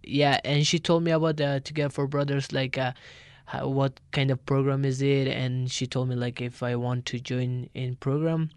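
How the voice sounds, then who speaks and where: Teenage man, English, halting, fillers, smooth clear voice, dry sound. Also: medium pitch, level tone, dry recording. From Afghanistan. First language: not English. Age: teens. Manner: halting, many fillers, simple phrasing. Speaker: youth organizer guest. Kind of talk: radio interview.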